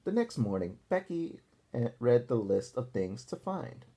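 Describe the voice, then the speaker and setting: A man reading a picture book aloud to young children as a teacher, deliberately pausing to ask his listeners questions. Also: mid-range voice, big pitch swings, dark tone, breathy edge.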